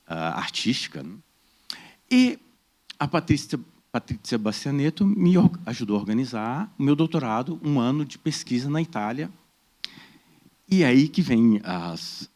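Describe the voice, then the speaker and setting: Brazilian Portuguese accent, middle-aged man, lively up-and-down delivery, panel-talk storyteller who voices the people he quotes. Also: medium pitch. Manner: animated storyteller, acts out quoted dialogue; frequent "né?" tags. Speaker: debate panelist. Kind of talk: personal talk at a panel debate.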